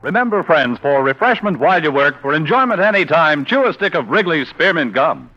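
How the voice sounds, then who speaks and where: Bright, high-pitched American radio announcer man. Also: sing-song, a little fast. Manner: polished, upbeat selling. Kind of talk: 1950s radio ad read and credits. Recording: muffled old radio broadcast with music.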